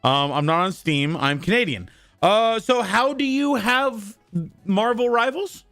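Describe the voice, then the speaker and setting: A man with a worn-out, husky voice chatting casually with his live-stream viewers and shouting greetings to newcomers. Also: high voice, expressive, measured pace, soft background music. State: hoarse, worn-out voice.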